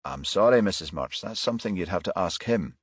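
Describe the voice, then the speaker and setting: A man voicing a composed, courteous male detective inspector in a crime audiobook, measured and businesslike. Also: sits low, animated, husky, no room sound, clean studio sound. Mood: guarded.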